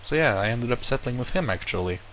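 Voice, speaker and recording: A man's voice: medium pitch, quick pace, slight background noise. A man who is not a native English speaker, casually telling a long personal story, rambling and correcting himself as he goes. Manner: rambling, self-correcting, animated retelling. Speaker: vlogger. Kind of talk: casual monologue to camera.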